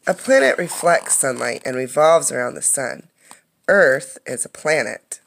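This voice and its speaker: A woman's voice: deep voice, expressive, vocal fry. A woman reading a children's science book aloud like a teacher, explaining things as she goes. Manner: explanatory, pausing to comment and ask questions.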